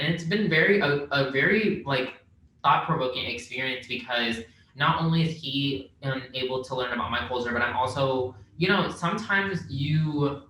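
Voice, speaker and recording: Young man, bright voice. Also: medium pitch. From Vietnam. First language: Vietnamese. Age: young adult. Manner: relaxed, rambling, laughs easily. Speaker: podcast co-host. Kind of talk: casual podcast chat. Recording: very echoey room, faint noise.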